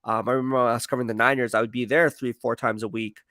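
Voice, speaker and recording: Male sports streamer, gravelly edge, dry close sound, casual and emphatic, rambles and circles back on his points. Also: medium pitch, little pitch movement, rapid-fire, sharp tone, no echo. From San Francisco Bay Area, United States. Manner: rambling, slangy, emphatic, repeats himself. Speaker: sports streamer and football commentator. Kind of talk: casual live stream chat, answering viewer comments.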